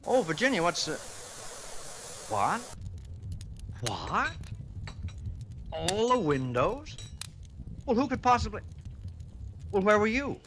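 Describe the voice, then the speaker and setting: A hissy old radio drama recording of a genial middle-aged man who runs a diner. His voice sits on the high side and swings animatedly. He is chatty and folksy, proud yet easily fretful. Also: big pitch swings, takes it slowly, long pauses, fairly bright tone, heavily acted. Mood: flustered, worried. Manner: folksy, chatty, hesitant when flustered. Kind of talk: 1950s radio drama acting.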